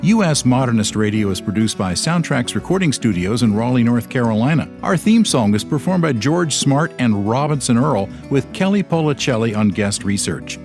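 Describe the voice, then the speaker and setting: A man reads a radio show's closing credits from a script. His pitch moves lively and his delivery is smooth. Guitar music plays clearly behind him. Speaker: radio co-host.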